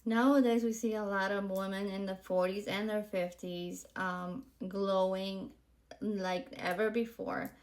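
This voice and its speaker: A woman's voice: medium pitch, steady tone, a little slow, darkish tone. A woman in her forties from Puerto Rico, hosting her podcast in a candid, chatty monologue full of ums and likes. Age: 40s. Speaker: podcast host. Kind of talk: casual monologue to camera.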